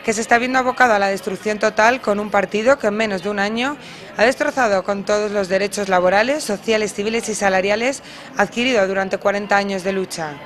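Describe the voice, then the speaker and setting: A woman's voice: medium pitch, fast talker. A woman reporter reading a scripted news voice-over in a formal, emphatic way.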